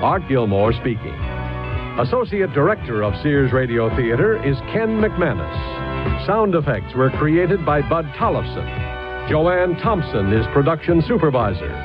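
A man's voice: a little high, very animated, measured pace. A man formally reading scripted closing credits as announcer of a radio show, on an old recording. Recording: dull old radio recording, music behind.

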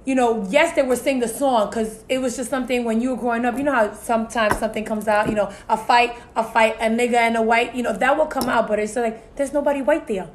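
Woman, Puerto Rican family, talkative, frequent fillers, fairly high voice, faint hiss. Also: fairly even intonation, fast, few pauses. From Puerto Rican family, US-raised. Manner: talkative, frequent "you know" and "so it's like". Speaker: podcast co-host. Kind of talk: casual discussion.

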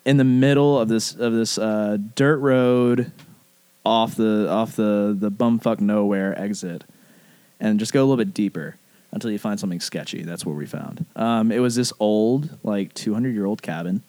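A man from the rural American South telling a personal story casually, in animated, rambling speech full of profane slang. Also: on the low side, moderate pace, dry recording. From southern United States, rural Alabama.

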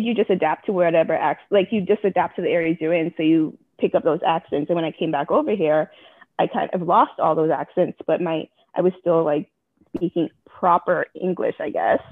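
A woman's voice: fairly low pitch, slightly rough, no room sound. A woman from Jamaica speaks frankly and earnestly as an interview guest. Her voice comes through a narrow, tinny phone-like line. There is no room sound on her voice at all. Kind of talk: candid personal interview.

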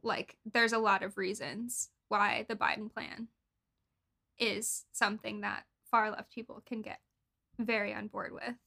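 A young woman with an American accent, co-hosting a casual podcast in informal, halting phrases sprinkled with "like". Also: mid-range voice, vocal fry, bright, forceful tone. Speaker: podcast co-host. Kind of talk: casual political podcast chat.

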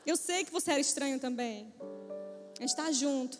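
High-voiced woman preaching a playful testimony. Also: moderate pace, long reverb tail, soft background music. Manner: playful storytelling, acts out quoted voices. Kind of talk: humorous testimony sermon.

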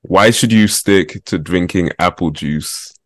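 A man's voice: low voice, slow, no echo. A man hosting a casual podcast interview, chatty and laid-back with plenty of slang. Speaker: podcast host.

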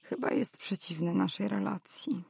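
A woman's voice: medium pitch, normal speed, creaky, a little mellow, breathy edge. An actress playing a spirited, outspoken young woman in a historical radio drama, with a direct, articulate way of talking. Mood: affectionate, concerned.

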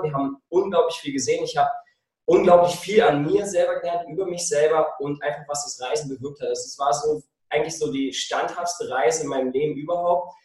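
A man's voice: a little high, darkish tone, recorded from a distance. German guy, 30s, enthusiastic rambler on a video call, slightly raised voice, echoey and off-mic. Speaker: podcast guest. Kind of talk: casual interview over video call. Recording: roomy echo, voice off-mic.

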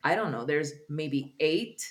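Slightly low-voiced woman chatting casually. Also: animated, a little slow. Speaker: podcast co-host. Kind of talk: casual chat.

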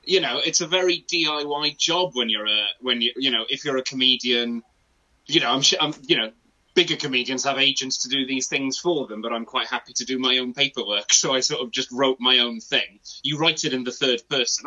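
A man's voice: mid-range voice, sharp tone. A man with a Welsh accent, a native Welsh speaker, chatting as a self-deprecating guest comedian. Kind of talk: casual anecdotal chat. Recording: dull online call audio.

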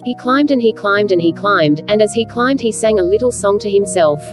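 A woman narrates a children's storybook in a scripted reading. Her voice is of medium pitch, with music playing clearly behind it. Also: expressive, moderate pace.

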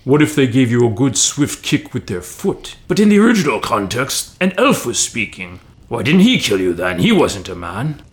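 Comic audiobook role: bickering undead henchman, pedantic, very varied pitch, acted by a male narrator. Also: very animated, moderate pace, few pauses, lightly acted. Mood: quibbling. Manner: pedantic, argumentative.

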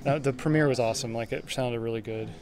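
A man's voice: medium pitch, quick pace. A man, a sound editor, giving a casual interview. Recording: busy crowd chatter behind.

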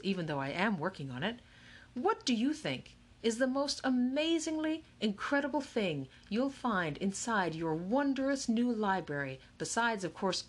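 A woman voicing an eccentric older male game maker, a playful showman with crisp, rat-a-tat speech in a storybook reading. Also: mid-range voice. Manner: starts timid and slow, then crisp and rapid-fire.

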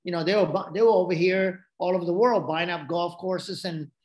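A man's voice: high voice, fairly even intonation, a little fast, darkish tone. Man with a Japanese accent, roomy sound. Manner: rambling, frequent restarts and asides. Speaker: podcast guest. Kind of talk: casual storytelling.

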